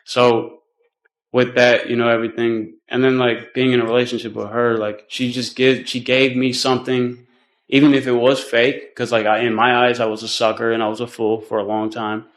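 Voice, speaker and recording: An American man speaking as a defendant in court, explaining himself informally and haltingly, leaning on "like" and "you know". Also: mid-range voice, little pitch movement, rough recording.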